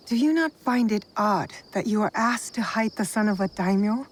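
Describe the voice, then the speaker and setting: A woman's voice: medium pitch, moderate pace, a little hiss or hum, close to an everyday voice. A woman playing a determined young avenger in a video game, speaking in a direct, controlled, probing way. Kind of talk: video game cutscene acting.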